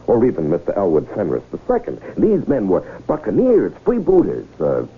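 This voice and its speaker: An actor playing a smooth-talking, goading male swindler in 1970s radio drama style, rhetorical and needling, with dramatic pauses. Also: on the low side, huge pitch swings, slight background noise. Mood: mocking, lecturing. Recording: muffled 1970s radio broadcast recording.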